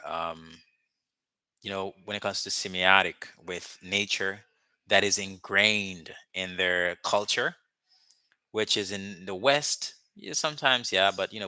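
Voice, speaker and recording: A man's voice: low-pitched, bright, forceful tone, clear, resonant tone, no room sound. A man whose first language is Haitian Creole, from Haiti, chatting casually and rambling to viewers on a live stream about learning languages, with many fillers and restarts. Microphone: webcam or phone mic, speaking to camera.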